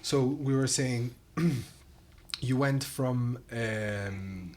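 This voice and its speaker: A man's voice: mid-range voice, extremely slow, darkish tone. A man in his thirties hosting a podcast, asking short questions and follow-ups, recorded on a studio mic in a small room. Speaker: podcast host. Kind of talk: interviewing. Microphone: studio mic on boom arm.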